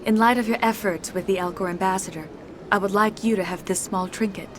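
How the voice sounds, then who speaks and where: An actress playing a calm, formal alien woman elder who speaks solemnly, with game music behind. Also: mid-range voice, a little fast, noisy background, natural voice. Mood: serene solemn.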